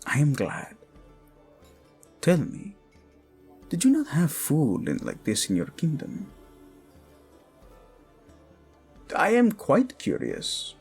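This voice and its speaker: Casting: male actor for lovesick, protective king, Spanish accent, breathy husky tone, unhurried intimate delivery for audio romance. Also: a little high, huge pitch swings, a little mellow, soft background music, heavily acted. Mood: warm, curious. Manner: tender, halting, dramatic, sprinkles in endearments. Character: protective young king, tender, lovesick, proud, playful romantic. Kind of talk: intimate romantic audio drama acting addressed to listener.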